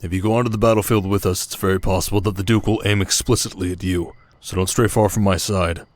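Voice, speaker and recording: A protective desert king from an ASMR fantasy drama, acted by a man. His voice is low, steady and measured. He speaks intimately, as a devoted husband would to his queen. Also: natural voice. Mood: concerned, tender. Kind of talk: ASMR audio roleplay drama.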